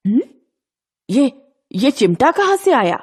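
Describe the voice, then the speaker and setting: A woman's voice: sits high, very animated, unhurried, a little mellow, husky, full character voice. A woman voicing an anxious, loving old village grandmother, fretful and emotional, in a children's story. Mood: surprised, tender.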